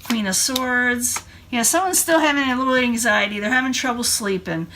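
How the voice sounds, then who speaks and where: Woman reading tarot, slightly hoarse, noisy recording. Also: a little high, normal speed. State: voice starting to go hoarse, throat clearing. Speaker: tarot card reader. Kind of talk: informal tarot reading narration.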